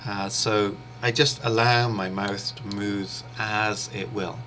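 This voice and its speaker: A man, a native English speaker, teaches an online interpreting lesson in a measured, patient way. His voice sits low yet sounds bright and forward. He pauses often and fills gaps with small ums and uhs. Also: slight background noise. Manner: measured, steady explaining with frequent pauses and fillers. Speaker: interpreting instructor. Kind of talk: explanatory online lecture.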